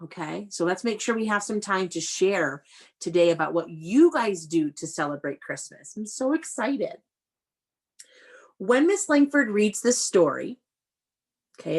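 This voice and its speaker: A woman speaks as an elementary school teacher leading a read-aloud for young children. Her delivery is animated and explanatory, at a middle pitch for a woman. She addresses the children directly and checks in with questions.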